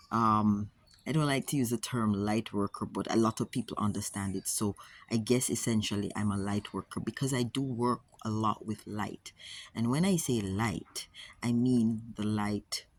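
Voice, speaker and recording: A woman with a Jamaican accent, speaking to camera as a wellness coach in a measured, earnest way, often repeating words for emphasis. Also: extremely low pitch. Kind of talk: casual talk to camera.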